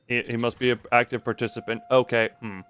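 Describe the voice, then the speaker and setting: A man's voice: mid-range voice, flat delivery, brisk, a bit bright, smooth. Rambling man streaming over game music. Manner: rambling, thinks aloud, stammers on word starts. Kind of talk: casual live-stream commentary, reading game text aloud.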